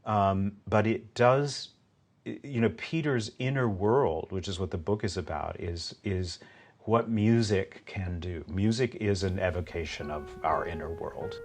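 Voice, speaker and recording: A man in his fifties, speaking thoughtfully and haltingly as he searches for words in a documentary interview. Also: sits low, soft background music. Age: 50s.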